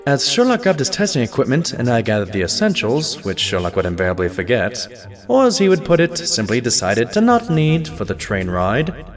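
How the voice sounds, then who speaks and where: A man playing a loyal pony doctor, telling his adventures as scripted, gentlemanly narration in an audio drama. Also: mid-range voice, big pitch swings, fairly quick, soft background music, lightly acted. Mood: wry. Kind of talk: scripted first-person narration.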